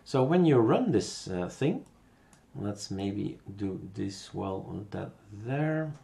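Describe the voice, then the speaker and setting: A man with a Belgian accent giving a casual, unscripted software tutorial, thinking aloud as he works. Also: fairly low pitch, very animated, slow pace, vocal fry, slight background noise.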